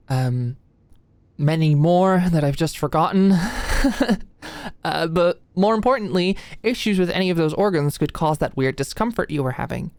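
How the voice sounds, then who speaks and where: Woman acting as a shy flustered med student girl, asmr roleplay, low voice, slow n stammery, super close dry sound. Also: a little mellow, dry recording. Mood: nervous, explaining. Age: young adult. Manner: stammering, hesitant, muttering asides under breath. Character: anxious, flustered young female medical student, shy and apologetic. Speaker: voice actor in audio roleplay.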